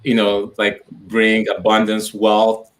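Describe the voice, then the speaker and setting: A man with a low voice, speaking as a guest over a video call. He talks in a thoughtful, searching way and circles back over his words as he builds each idea. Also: completely flat delivery, slow pace, poor audio. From Nigeria. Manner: thoughtful, repeats words while building a point. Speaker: entrepreneur guest. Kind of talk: casual interview chat.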